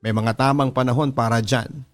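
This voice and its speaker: A man's voice: mid-range voice, steady tone, fairly quick, faint music behind. A man with a Filipino accent narrating a scripted first-person love story in the style of a radio drama.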